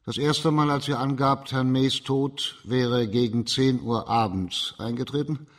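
An actor playing a composed, stern judge and investigator in a radio crime drama, measured and formal. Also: male voice, mid-range voice, fairly even intonation, lightly acted.

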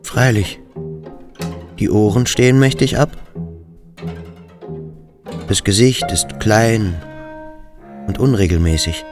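A very low male voice gives the private thoughts of a brooding schoolboy in a radio drama. The delivery is introspective and deliberate, phrase by phrase. Soft instrumental music sits faintly behind it. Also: lively intonation. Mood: contemplative, observing. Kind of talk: radio drama interior monologue.

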